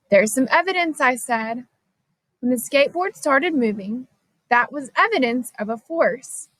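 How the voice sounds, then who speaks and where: A woman with a fairly high voice reads a children's storybook aloud. Her narration is scripted, expressive and clearly phrased. The recording is fairly dry, with little room sound. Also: no room sound, poor audio. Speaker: storybook reader.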